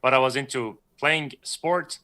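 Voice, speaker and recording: Bright-voiced man telling a rambling story. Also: mid-range voice, unhurried. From Iran. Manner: rambling, self-correcting storyteller, laughs often. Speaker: guest artist. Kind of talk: casual livestream chat.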